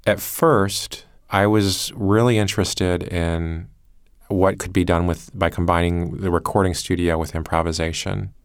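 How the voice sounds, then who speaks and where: A man's voice: low voice, steady tone, measured pace, clean tone, dry recording. A man who produces a podcast, explaining his work reflectively in an interview with hesitant, thoughtful phrasing.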